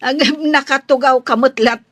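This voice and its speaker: Slightly husky, friendly Filipino village woman, acted. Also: high voice, fairly even intonation, normal speed, fairly bright tone, no room sound. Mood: sympathetic, chatty. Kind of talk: Philippine radio drama acting.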